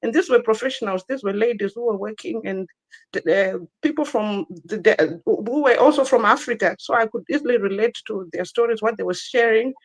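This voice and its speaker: A woman's voice: on the high side, a little fast, poor audio. A woman in her thirties from Zimbabwe tells her own story as a guest in an online interview. She talks earnestly, repeating phrases and stopping now and then for a small laugh.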